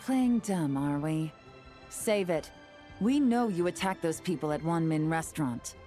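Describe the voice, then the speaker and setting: A woman's voice: low-pitched, a little slow, dark tone, faint music behind. A woman playing a composed, sardonic investigator in a video game, curt and commanding. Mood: accusing. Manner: curt, controlled, interrogating.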